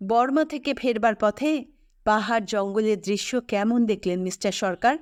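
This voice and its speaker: Woman reading a genial, curious man, high-pitched. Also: moderate pace, no room sound, studio quality, natural voice. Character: genial, curious male city office-worker on holiday.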